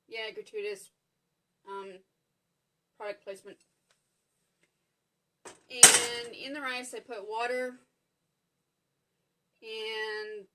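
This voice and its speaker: A woman's voice: on the high side, bright, forceful tone, rough recording. A woman with a Canadian accent hosting a live cooking demonstration from her home kitchen, chatty and apologetic, greeting viewers by name as she talks them through each step. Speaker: cooking show host.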